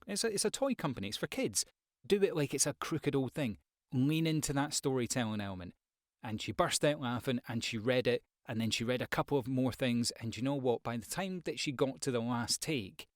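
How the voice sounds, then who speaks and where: A man's voice: mid-range voice, no echo. A man giving a conversational, rambling tutorial as a voice-over coach, speaking expressively close to the microphone. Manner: rambling, expressive with acted examples. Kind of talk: conversational tutorial talk to viewers.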